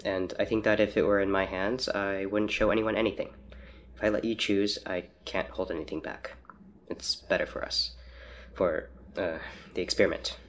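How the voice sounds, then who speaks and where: An actor playing a guarded, pedantic researcher in an audio drama, speaking formally and precisely with some hesitation. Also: male voice, sits low, fairly even intonation, moderate pace, fairly bright tone, slight background noise. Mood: hesitant. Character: reserved, pedantic male researcher, anxious and guarded. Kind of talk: naturalistic audio drama dialogue.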